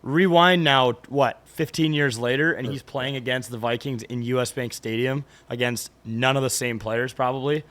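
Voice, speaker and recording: A man with a mid-pitched voice hosts a sports podcast in a brash, joking way. He swears casually and talks loosely with his co-hosts. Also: fairly even intonation, normal speed, few pauses, a bit bright. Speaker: podcast host.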